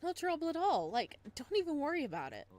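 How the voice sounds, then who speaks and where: A woman's voice: high voice, big pitch swings, quick pace, darkish tone, pitched above the performer's own voice. A woman voicing a bubbly, sassy young man who works part-time at a clinic, in an exaggerated visual novel reading. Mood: breezy. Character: bubbly, sassy young male clinic part-timer, scolding but friendly.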